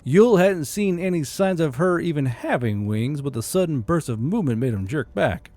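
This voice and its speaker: Mellow male audiobook narrator. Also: on the high side, lively intonation, moderate pace, no echo. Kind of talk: scripted audiobook narration of fantasy war fiction.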